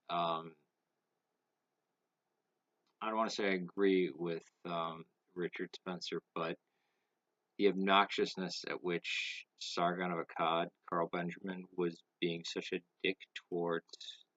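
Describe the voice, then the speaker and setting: Guy smoking and rambling slow to his camera, deep monotone, dry dull lo-fi sound. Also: no echo. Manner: halting, thinking aloud with many pauses; smoking while talking. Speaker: vlogger. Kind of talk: casual opinion monologue to camera.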